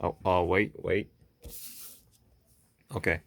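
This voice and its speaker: A man from South Korea speaking English with a Korean accent, talking to camera in a halting, stuttering way with many fillers and "okay?" checks. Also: sits low, little pitch movement, vocal fry, fairly bright tone, no room sound. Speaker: vlogger. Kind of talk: unscripted monologue to camera.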